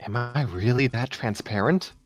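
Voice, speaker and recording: A man's voice: medium pitch, moderate pace, dry recording, voice pushed up, natural voice. A man voices a downcast young student heroine in a read-aloud story. She speaks in a subdued, hesitant way with wry self-doubt. The sound is dry, with faint music underneath. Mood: doubtful. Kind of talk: story character reading.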